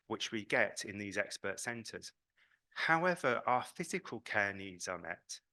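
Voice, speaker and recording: A man's voice: fairly low pitch, pushed and bright. A man from Britain with a British accent, presenting at a conference in an earnest, emphatic way as an advocate. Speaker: patient advocacy representative speaking at a conference. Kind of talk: conference presentation, semi-spontaneous.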